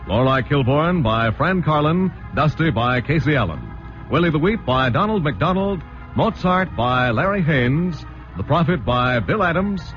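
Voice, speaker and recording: A man announces in the polished, lively style of an old-time radio narrator, with a voice that swings up and down. The sound is a dull vintage broadcast with music clearly under it. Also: mid-range voice. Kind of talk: scripted announcing.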